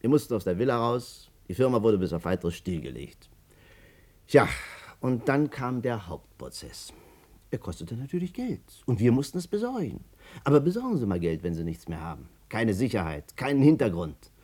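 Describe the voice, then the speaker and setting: A man's voice: medium pitch, big pitch swings, moderate pace, a little mellow, husky. An actor playing an embittered man recounting his ruin in a 1950s German radio drama, emphatic and rhetorical. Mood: bitter, reminiscing.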